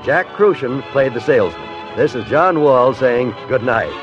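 Animated American vintage radio announcer man. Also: medium pitch, moderate pace, over loud music. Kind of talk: 1950s network radio promo announcing. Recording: dull, dry old radio recording.

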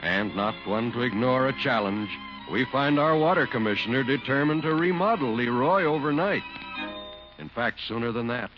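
A man's voice: mid-range voice, animated, moderate pace, music in the background. A man announcing in a polished, emphatic 1940s radio advertising and narration style. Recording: dull vintage radio recording.

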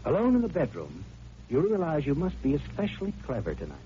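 A man's voice: fairly high pitch, huge pitch swings, quick pace, mellow, a little hiss or hum. A man acting as a brooding, accusing narrator in a vintage radio suspense drama, speaking straight to the guilty listener in an insinuating, dramatic way. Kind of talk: 1940s radio suspense narration in second person. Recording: muffled old radio recording with music.

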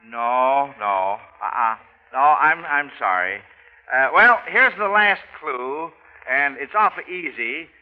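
A man's voice: medium pitch, lively intonation, slow pace. An American man hosting an old live radio quiz, chatty and a little hesitant, repeating answers back. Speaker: quiz show host. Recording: dull, noisy vintage radio recording.

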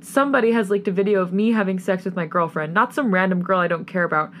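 A woman's voice: mid-range voice, fast talker, fairly bright tone, a little hiss or hum, close to an everyday voice. Woman acting a wounded, indignant young playboy boyfriend. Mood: hurt, angry. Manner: pleading, exasperated, swearing.

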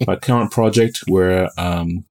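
Man, very deep voice, New Zealand-American accent, relaxed guest chat, laughs between remarks. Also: slow pace. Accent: New Zealand-American mix. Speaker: game designer guest. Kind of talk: casual podcast interview.

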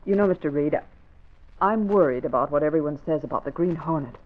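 Actress as brisk, earnest secretary. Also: female voice, low-pitched, lightly acted. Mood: worried, probing. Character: concerned, sharp-witted young female secretary. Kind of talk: 1940s radio acting. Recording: narrow vintage radio, faint noise.

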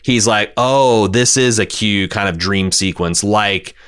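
A man's voice: lowish voice, a little slow, fairly bright tone, clean tone. A man hosting a podcast, casually recapping television and reading out listener feedback in an opinionated, exasperated way with some swearing. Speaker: podcast host.

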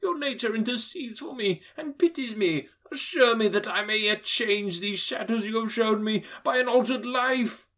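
A man reading an audiobook, voicing an old male miser in a high, breathless voice, exclaiming and half laughing, half crying. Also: moderate pace, few pauses, fairly bright tone. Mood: pleading.

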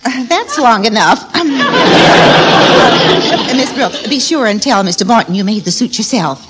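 An old radio broadcast with heavy noise and a big audience behind it. a middle-aged wife who flutters and gushes, her high voice swinging widely as she fusses and dotes. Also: animated, measured pace, a loud crowd. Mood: gushing. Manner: hesitant, fluttering, gushing. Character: fluttery, fussy, affectionate middle-aged wife playing matchmaker. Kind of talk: 1950s radio comedy acting.

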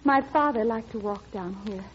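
A woman's voice: fairly high pitch, normal speed, close to an everyday voice. An actress playing a shy, earnest teenage girl, dreamy and hesitant, in a classic radio drama. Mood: shy, curious. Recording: dull, faintly noisy old radio recording.

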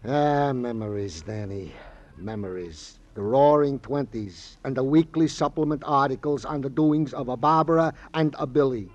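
A man's voice: medium pitch, moderate pace, lightly acted. An actor playing a wordy, wistful police sidekick in a 1950s radio crime drama, savoring his oddly formal phrases theatrically. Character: wistful, florid, wordy male police colleague, eager to please. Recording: dull, muffled old radio recording.

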